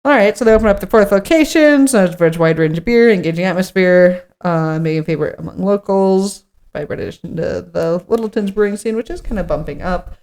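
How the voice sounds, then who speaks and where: A woman's voice: fairly low pitch, expressive, a little fast, darkish tone. A woman co-hosting a podcast in an informal chat, partly reading from notes and thinking aloud. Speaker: podcast co-host.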